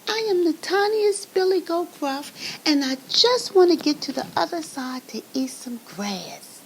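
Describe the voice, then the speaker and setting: A woman's voice: big pitch swings, unhurried, vocal fry, noisy background, full character voice. A woman doing a tiny, whimpering billy goat. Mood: pleading. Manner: high, pleading and whimpering. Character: tiniest billy goat, small and timid.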